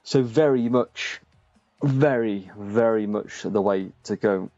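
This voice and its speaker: A man's voice: lowish voice, slow, a little mellow, dry recording. A man casually commentating on a live gaming stream, chatting to viewers and addressing them by name. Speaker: streamer and host of a gaming channel. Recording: muffled lo-fi sound, music behind. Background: faint background music.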